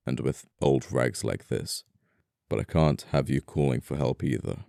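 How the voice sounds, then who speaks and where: Casting: male actor for scoundrel murderer in ASMR roleplay, very deep and smooth, politely menacing, apologetic, flirtatious, quaint wording. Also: no echo, studio quality, full character voice. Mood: apologetic, flirty. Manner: soft-spoken politeness, condescending, old-fashioned phrasing. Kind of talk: intimate ASMR audio roleplay acting.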